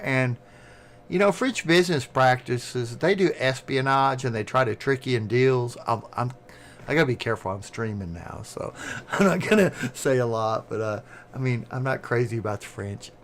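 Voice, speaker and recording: A man's voice: mid-range voice, fairly bright tone, a little hiss or hum. Rambling man with a Southern American accent. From southern United States. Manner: fast-talking, rambling. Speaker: live streamer. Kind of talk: casual chat.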